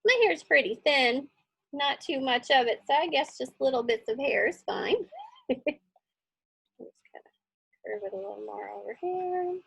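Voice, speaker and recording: Woman, children's teacher. High, husky voice, chatty drawing instruction with frequent check-in questions, close dry sound. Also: expressive, measured pace, long pauses, a bit bright, no echo.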